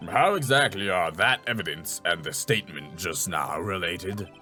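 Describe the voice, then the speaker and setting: A man voicing a stern, authoritative older judge in a video game, speaking in a measured way. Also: sits low, expressive, sharp tone, faint music behind, pitched below the performer's own voice. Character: grave, authoritative older male courtroom judge. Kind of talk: dramatic video game dubbing.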